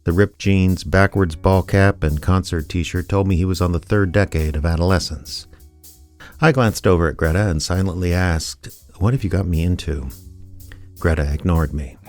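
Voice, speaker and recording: A man acts out a reluctant small-town sleuth in a hardboiled radio drama. His voice sits low and his delivery is dry, wry and sarcastic. Faint music plays behind him. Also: steady tone, moderate pace, a little mellow, lightly acted. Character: Snow, sardonic, reluctant small-town unofficial investigator and narrator. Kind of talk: hardboiled detective radio drama narration and dialogue.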